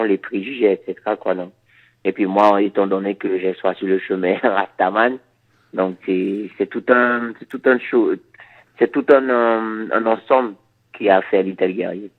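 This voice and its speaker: A reggae musician from Suriname, a man whose first language is Dutch, answering interview questions in a halting, thoughtful way with many repeated words. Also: deep voice, narrow, tinny audio.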